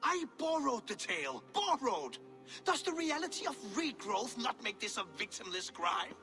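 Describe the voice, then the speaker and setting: A man's voice: very high voice, very animated, a little slow, pushed and bright, faint music behind, heavily acted. A man playing a vain, pompous, unrepentant village painter in a video game, speaking in a florid, theatrical, indignant way. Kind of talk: dramatic video game acting.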